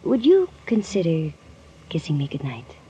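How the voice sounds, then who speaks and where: A woman's voice: low voice, huge pitch swings, normal speed, mellow. A woman playing a coy, flirtatious and cunning society lady in 1940s radio drama. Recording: dull, hissy vintage radio recording.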